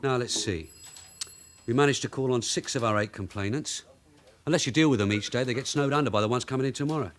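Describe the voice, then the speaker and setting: An actor playing a gruff, streetwise London detective sergeant in a radio drama, blunt, sardonic and commanding. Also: male voice, mid-range voice, fast talker, lightly acted. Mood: brusque, wry.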